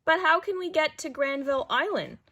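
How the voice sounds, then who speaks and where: A woman voicing a young granddaughter in a children's storybook, expressive and questioning. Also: high voice, brisk, pitched above the performer's own voice. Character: doubtful young granddaughter who questions and complains.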